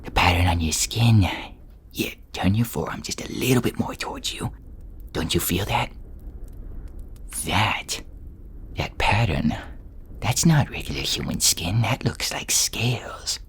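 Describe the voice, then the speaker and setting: A man acts out a servile male underling to a feared inquisitor. His voice is low and often drops to a whisper, moving up and down in a nervous, wheedling way. The delivery is hesitant fantasy role-play. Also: animated, measured pace, long pauses. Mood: curious, probing. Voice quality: hushed, whispery.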